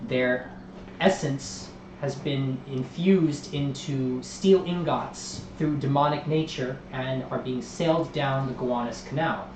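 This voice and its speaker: A man hosting a live tabletop role-playing stream as game master, moving from an upbeat welcome into a steady recap of the story. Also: medium pitch, slow pace, echoey room, a little hiss or hum, poor audio. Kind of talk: livestream tabletop game narration.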